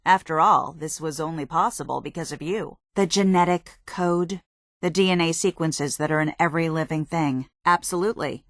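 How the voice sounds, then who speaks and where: An actress playing a dedicated, drily impatient military research scientist in a video game, precise, matter-of-fact and slightly prim. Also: female voice, low-pitched, normal speed, no room sound, clean studio sound. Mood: appreciative, earnest. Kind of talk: video game character dialogue.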